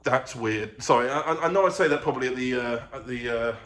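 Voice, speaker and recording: A man's voice: medium pitch, a little fast, fairly bright tone. A man chatting casually to a vlog, rambling hesitantly, correcting himself and trailing off. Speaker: vlogger. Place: living room of own apartment. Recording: echoey room with faint ambient noise.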